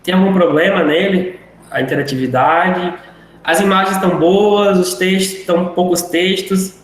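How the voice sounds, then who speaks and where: A man's voice: sits high, steady tone, moderate pace. A male teacher wrapping up a live online class in a chatty, rambling way. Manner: rambling, chatty, self-deprecating. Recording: very echoey room, faint noise.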